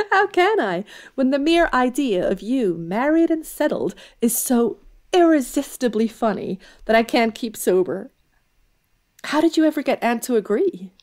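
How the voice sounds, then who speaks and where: An actress playing a spirited, blunt, affectionate young tomboy in an audio drama, exclamatory and teasing. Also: female voice, a little high, big pitch swings, a little slow, darkish tone. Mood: teasing, amused.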